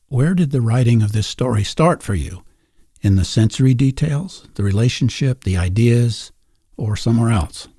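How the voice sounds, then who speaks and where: A man hosting a reflective literary interview, asking thoughtful, deliberate questions. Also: lowish voice, mellow, husky, dry recording, studio quality. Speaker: interviewer, show host.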